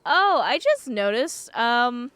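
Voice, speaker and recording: A woman's voice: on the high side, sing-song, slow, fairly bright tone, clean tone, no echo. A woman doing casual live let's play commentary as a game streamer, playful and reactive, swearing freely. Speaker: game streamer reading dialogue aloud.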